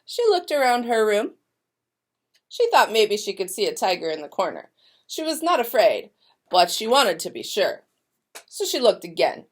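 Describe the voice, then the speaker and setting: A woman speaks to young children as a school staff member would, giving announcements and reading stories. Her pitch is mid-range and moves around a lot, with an expressive, deliberate storytelling delivery. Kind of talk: morning announcements and picture book read-aloud for young children.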